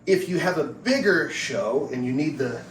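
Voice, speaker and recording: A man's voice: a little high, lively intonation, normal speed. A man giving a casual product review and demonstration in a plain-spoken, explanatory way. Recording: roomy, distant mic with background noise.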